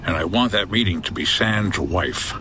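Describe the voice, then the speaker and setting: Man acting a low-voiced hard-boiled sleuth. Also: animated, normal speed, bright, forceful tone, slight background noise. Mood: terse, exasperated. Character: gruff, no-nonsense male hotel owner and boat pilot turned sleuth. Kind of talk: comedy noir radio drama acting.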